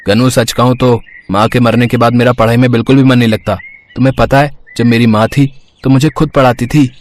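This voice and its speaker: A man's voice: medium pitch, little pitch movement, brisk, lightly acted. A man playing a wayward but sincere, loyal schoolboy in a Hindi moral story, with an earnest, emotional delivery.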